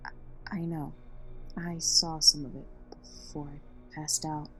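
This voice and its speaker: An actress playing a street-smart young woman vigilante in an audio drama, assertive and teasing in a measured way, with wry confidence. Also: low voice, flat delivery, faint music behind. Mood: earnest, urgent.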